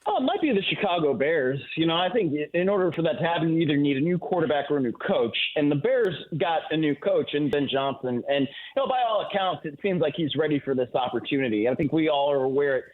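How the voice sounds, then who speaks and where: Male sports analyst, guest by phone, fairly high pitch, conversational and explanatory, narrow tinny line. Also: animated, quick pace, hardly any pauses. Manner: conversational, long explanatory answers peppered with "you know" and "right?". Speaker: guest football analyst on a sports radio show. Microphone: phone or remote call-in line.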